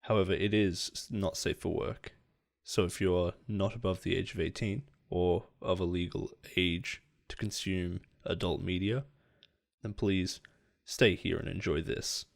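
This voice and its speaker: A man's voice: deep voice, fairly even intonation, measured pace, creaky, dry recording, clean studio sound. A man who performs audio roleplay, speaking a content disclaimer to his listeners.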